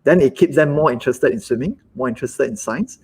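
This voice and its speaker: A husky-voiced man with a Malaysian English accent talks about his business in a live online chat. He speaks haltingly, repeats words and acts out little quotes along the way. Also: mid-range voice, darkish tone. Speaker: business owner guest. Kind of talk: live webinar conversation.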